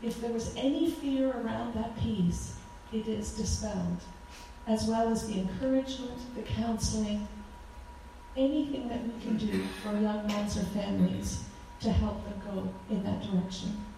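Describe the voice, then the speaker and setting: A woman in her forties with a loud voice, giving a fervent talk as a guest speaker at a church, with frequent ums. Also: a little high, fairly even intonation, moderate pace, gravelly, slight background noise. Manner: earnest, fervent, with many ums. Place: church hall. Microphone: handheld microphone. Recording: echoey hall from far off.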